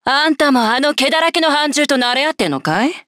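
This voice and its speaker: Arrogant, scornful villainess general. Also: female voice, sits high, animated, racing, bright, forceful tone, no echo, clean studio sound. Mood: haughty, scornful. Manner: haughty, scornful, theatrical taunting. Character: cruel, arrogant female lancer general, sadistic and scornful. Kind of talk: Japanese video game character voice lines.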